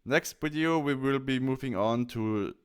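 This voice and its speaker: A man presenting a software tutorial as a voice-over, explaining things step by step in plain, short instructional phrases. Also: mid-range voice, unhurried, clear, resonant tone.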